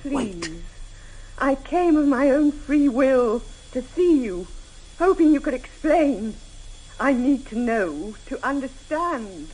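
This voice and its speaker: A loving wife who has been betrayed, in a vintage radio drama. Her voice is high and husky, swinging widely in pitch as she pleads haltingly. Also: very animated, darkish tone, exaggerated voice, poor audio. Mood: hurt, pleading.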